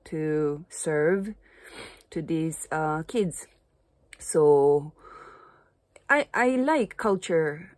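Slow-talking Japanese woman, low-pitched. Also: dry recording. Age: early 30s. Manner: halting, searching for words in non-native English. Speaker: vlogger, Japanese language teacher. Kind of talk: casual unscripted talk to camera. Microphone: phone or camera at arm's length.

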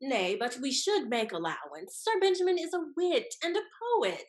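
A woman acts a haughty lady schemer from a period comedy. Her voice sits high and swoops widely. She speaks with arch, theatrical relish, drawing out her emphasis. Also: big pitch swings, moderate pace. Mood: knowing. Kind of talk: period stage comedy acting. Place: indoor room, performing on video call. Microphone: video call.

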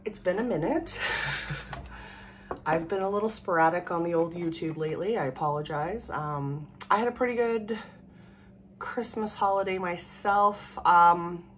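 Woman, low voice, hoarse, chatty and rambling, home vlog, faint room hum. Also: a little slow. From Canada. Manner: rambling, chatty, laughs often. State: hoarse from a cold. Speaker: vlogger. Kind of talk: casual chat to camera. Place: small room at home, indoors. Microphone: camera in front at desk distance.

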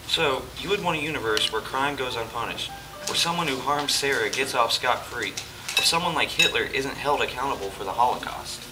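A man's voice: medium pitch, moderate pace, natural voice. A man playing Jesus in an amateur church stage play, explaining earnestly and patiently to his dinner guest. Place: church hall stage, indoors. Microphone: far camera. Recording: distant camera with music behind.

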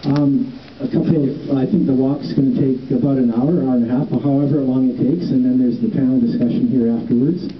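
A man's voice: medium pitch, brisk, a little hiss or hum. Middle-aged man rambling outdoors, far off. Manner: relaxed, rambling, many ums and restarts. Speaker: festival producer welcoming a walking tour. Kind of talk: casual outdoor welcome speech. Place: outdoors on a city street. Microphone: handheld microphone heard from a distance. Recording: roomy, distant, dull phone-camera sound.

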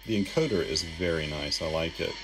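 A man's voice: low-pitched, fairly quick, background noise. A man giving a casual hands-on demo of radio gear as a hobbyist. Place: indoors at a desk. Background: shortwave radio music and sound.

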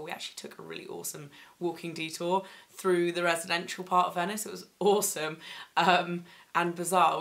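Slightly croaky young woman vlogging. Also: fairly low pitch, fairly bright tone. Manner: chatty, enthusiastic, many ums and likes. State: slightly croaky after laryngitis. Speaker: fashion vlogger. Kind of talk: casual haul vlog. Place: bedroom, indoors.

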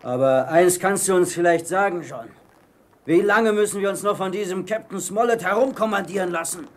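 A man's voice: sits high, moderate pace, natural voice. A man playing a grumbling, impatient pirate crewman in a radio play.

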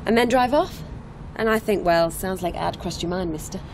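A woman's voice: lowish voice, brisk, natural voice. A woman acting a wary, plain-spoken young hitch-hiker in a naturalistic radio drama, talking over car noise. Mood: matter-of-fact. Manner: guarded, matter-of-fact. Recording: clear vehicle noise around the voice. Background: car engine and road ambience.

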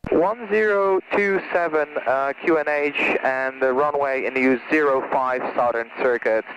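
A man's voice: mid-range voice, unhurried, fairly bright tone, lo-fi sound, a little hiss or hum. A young man flying a small plane, mixing radio calls with casual chat over an aviation headset. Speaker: private pilot. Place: small aircraft cockpit in flight. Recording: cockpit headset audio with music behind.

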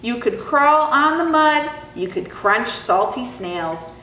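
A woman's voice: a little high, animated, takes it slowly, pushed and bright, recorded from a distance, exaggerated voice. A woman voicing an eager, helpful beetle in a children's storybook, in a sing-song manner. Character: eager beetle offering advice to a turtle. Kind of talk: expressive children's storybook character reading. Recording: roomy sound with faint noise.

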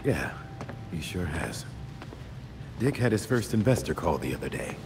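An actor playing a weary, kindly older colonel in a game cutscene, with plain-spoken delivery. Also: male voice, deep voice, very animated, moderate pace, background noise. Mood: weary, grieving. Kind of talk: naturalistic video game cutscene acting.

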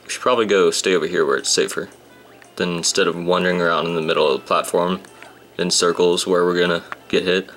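A young man commentating a handheld game, casual and grumbling, his voice a bit rough today. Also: low voice, fairly even intonation, quick pace, a bit bright. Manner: grumbling, sarcastic about the game. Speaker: gameplay commentator. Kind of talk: casual let's-play commentary. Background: game music.

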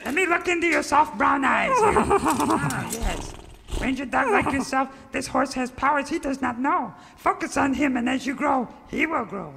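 An actor voicing a tiny furry cowboy mystic, a wise chuckling mentor with a creaky voice, in a parody radio serial. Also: male voice, expressive, normal speed, pushed and bright, full character voice. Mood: grand, tired. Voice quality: creaky, high-pitched. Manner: solemn, cryptic sage pronouncements. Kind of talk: parody of old-time radio serial acting. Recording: live hall reverb, audience noise.

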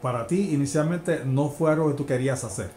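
A man's voice: a little high, brisk, darkish tone. A man with a Puerto Rican accent hosting a conversational podcast interview. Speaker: podcast host and producer. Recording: echoey room, faint background noise.

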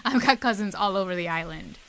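An actress playing a warm, tentative young woman who works at a cafe, in a naturalistic audio drama. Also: medium pitch, animated, fast, close to an everyday voice, rough recording. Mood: playful, eager.

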